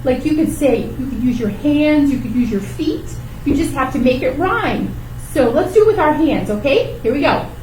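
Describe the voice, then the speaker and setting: A woman's voice: high voice, lively intonation, moderate pace, hardly any pauses, a little mellow. A woman hosting a children's storytime, talking in simple, encouraging phrases as she reads and teaches rhymes. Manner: simple, encouraging talk addressed to small children. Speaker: library storytime host. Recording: roomy, distant microphone with background noise.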